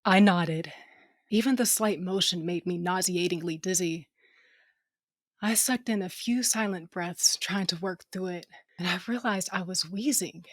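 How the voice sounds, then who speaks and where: A tough female starship captain, with a slightly low voice in a dry, close recording. She is salty and commanding, yet protective and fond of her people, as she tells her own story in a space audio drama. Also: measured pace, dry recording. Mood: reflective, intimate. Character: tough, wounded female ship captain, protective aunt, affectionate. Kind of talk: first-person audio drama narration.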